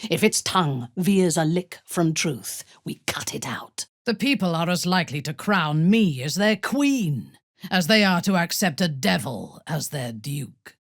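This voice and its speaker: A woman voicing a ruthless, haughty exiled dark elf warrior in a video game, commanding and menacing, with formal diction. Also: sits low, big pitch swings, a little slow, no room sound, studio quality, heavily acted. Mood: grim, menacing. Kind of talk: video game character voice acting.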